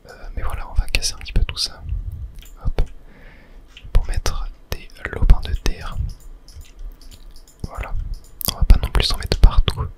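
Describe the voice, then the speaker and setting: A person whispering ASMR game commentary, thinking aloud to viewers with hesitant pauses. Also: poor audio. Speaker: gaming video host.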